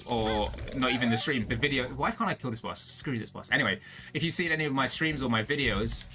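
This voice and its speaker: A man's voice: mid-range voice, fairly quick, pushed and bright, poor audio. A young British man with a slight American tinge to his accent, chatting loosely with viewers as a VTuber streamer, laughing often and rambling through fillers and restarts. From Britain. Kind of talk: casual chat with stream viewers. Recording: music playing behind the voice.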